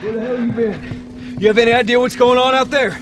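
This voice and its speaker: An actor playing a worried, talkative, plain-spoken uncle with a Texan accent in a video game. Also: male voice, extremely high pitch, fairly even intonation, fairly quick. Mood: agitated. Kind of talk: naturalistic dramatic game acting. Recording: music and car engine behind.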